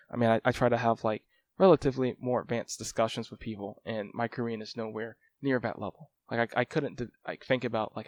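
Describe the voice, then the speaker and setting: Rambling young man vlogging casually. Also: mid-range voice. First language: English. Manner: rambling, lots of "like" and "um" fillers. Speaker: language-learning vlogger. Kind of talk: casual talking-head update.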